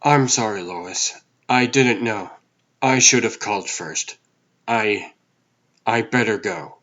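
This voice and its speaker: A man's voice: fairly low pitch, takes it slowly, a bit bright, dull audio. A man playing a mild, awkward, apologetic reporter with a hesitant manner in a comic book dub.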